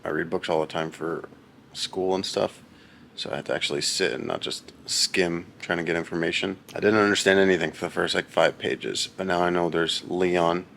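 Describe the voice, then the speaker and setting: A young man with a very low voice, chatting casually and deadpan to a camera at home, rambling and poking fun at himself. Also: moderate pace, gravelly, a little hiss or hum. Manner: deadpan, rambling and self-mocking. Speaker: husband reading a book on camera. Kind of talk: casual vlog chat.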